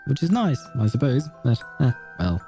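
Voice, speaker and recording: A man's voice: medium pitch, big pitch swings, normal speed, dark tone. A man reading a game story aloud and adding casual, sardonic commentary as a streamer. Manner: sardonic asides. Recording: background music behind voice.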